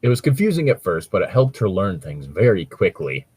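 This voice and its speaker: A man's voice: deep voice, sing-song, brisk, darkish tone, husky. A man casually reading and critiquing a story as a podcast host, rambling, sweary and critical. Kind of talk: casual commentary with read-aloud passages.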